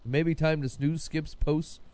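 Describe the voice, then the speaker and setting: A man's voice: a little high, fairly even intonation, moderate pace, a little mellow, slight background noise. A man co-hosting a podcast, chatting casually and reading aloud in a conversational way. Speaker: podcast co-host. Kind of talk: casual group banter and reading out a listener letter.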